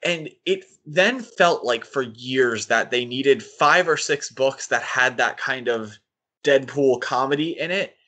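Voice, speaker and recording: A man's voice: medium pitch, slow, pushed and bright, husky. A man co-hosting a podcast, giving critical opinions in a casual discussion of comics. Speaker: podcast co-host.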